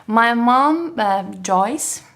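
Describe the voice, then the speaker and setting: A young woman from Brazil speaks English with a Brazilian Portuguese accent as a guest in a relaxed interview. She hesitates often, fills gaps with little words and laughs easily. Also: on the high side, very slow. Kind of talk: casual interview chat.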